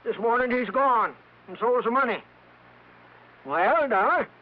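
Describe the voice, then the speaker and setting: A man's voice: very high-pitched, animated, a little slow. An actor playing a brash, folksy rich American oilman with shady ways and blustering, ungrammatical talk in a 1950s radio drama. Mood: breezy, stubborn. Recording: dull vintage radio recording with music.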